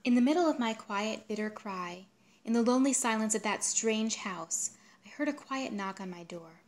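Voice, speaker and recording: A woman reading literary fiction aloud in a dramatic, expressive way, at times hushed. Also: on the high side, normal speed. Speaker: translator reading their own literary translation.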